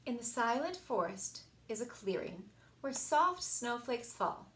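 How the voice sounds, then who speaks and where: A woman reading children's stories aloud as a story time reader, in an expressive, animated storytelling style. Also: on the high side, a little slow. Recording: echoey room.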